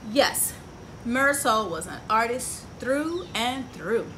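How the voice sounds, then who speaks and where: A woman's voice: a little high, animated, slow pace, bright, forceful tone. A middle-aged woman hosting a children's story time, reading a picture book aloud expressively and asking the young listeners questions. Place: outdoors on a porch by trees. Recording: clear outdoor ambient noise.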